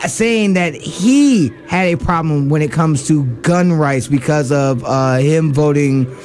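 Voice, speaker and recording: A man's voice: on the high side, slow pace, a little mellow, a little hiss or hum. A man co-hosting a radio show, giving heated political commentary with many fillers and restarts.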